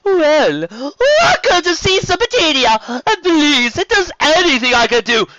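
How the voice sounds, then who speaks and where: A man's voice: very high voice, very animated, a little slow, bright, forceful tone, no echo, muffled recording, pitched above the performer's own voice, exaggerated voice. A man voicing a flamboyant, courtly sea serpent duke, theatrical and effusively polite, in a story reading. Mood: gracious, effusive.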